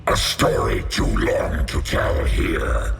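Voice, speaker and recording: A man voicing a menacing alien symbiote monster for a video game, in a deep gravelly growl with layered monster processing. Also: big pitch swings, takes it slowly, full character voice. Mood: threatening. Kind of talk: video game character acting. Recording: long reverb, music behind.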